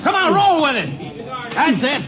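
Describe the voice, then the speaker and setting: An actor playing a hard-driving boxing trainer in a fifties radio drama, barking short urgent commands. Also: male voice, very high-pitched, very animated, moderate pace. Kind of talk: 1950s radio drama acting. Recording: muffled radio recording, loud crowd.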